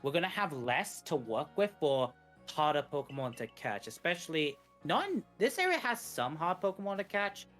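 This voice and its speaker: A man's voice: a little high, big pitch swings, moderate pace, fairly bright tone, soft background music. Wry man co-commentating a speedrun. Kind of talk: casual live game commentary.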